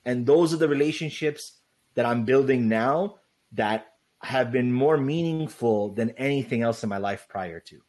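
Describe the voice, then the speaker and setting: Man, young adult, loud voice, loose rambling chat, filler-heavy. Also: mid-range voice, moderate pace. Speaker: guest. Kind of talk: casual interview chat.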